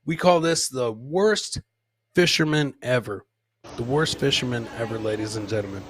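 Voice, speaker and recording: An American man hosting a comedy podcast, loudly commenting on funny clips in a boisterous way, swearing freely and throwing out catchphrases. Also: mid-range voice, normal speed, crowd noise.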